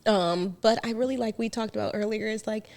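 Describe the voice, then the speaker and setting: A woman in her thirties from Trinidad, a business founder talking as a podcast guest in a relaxed, candid way and laughing easily. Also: a little high, brisk, vocal fry. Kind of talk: casual podcast interview.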